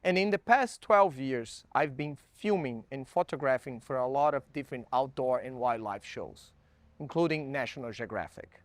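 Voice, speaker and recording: A man's voice: medium pitch, lively intonation, normal speed, no echo. A young man from Brazil, a native Portuguese speaker with a Brazilian accent, presenting a coastal weather report in enthusiastic, slightly broken English. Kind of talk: casual presenting.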